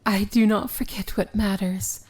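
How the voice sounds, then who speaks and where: A woman playing a seductive, tender yet commanding evil princess in an intimate fantasy ASMR roleplay, speaking softly and often whispering. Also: mid-range voice, little pitch movement. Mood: devoted, reassuring. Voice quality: soft, breathy, often whispered. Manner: slow, intimate, gently commanding.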